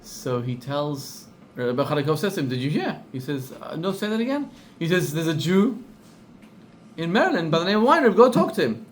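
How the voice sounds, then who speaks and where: A middle-aged rabbi with a South African accent telling a story in a sermon, acting out the people in it and repeating words for emphasis. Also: male voice, a little high, fairly quick, a little hiss or hum. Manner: animated storyteller, acts out quoted voices, repeats for emphasis.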